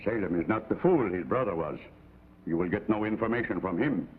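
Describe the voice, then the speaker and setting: A man's voice: on the low side, expressive, brisk, natural voice. An actor playing a stern, bitter older father in a vintage television drama, forbidding and terse. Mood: stern, scornful. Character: stern, bitter old father of slain sons. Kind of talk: 1950s television drama acting. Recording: tinny, hissy vintage TV soundtrack.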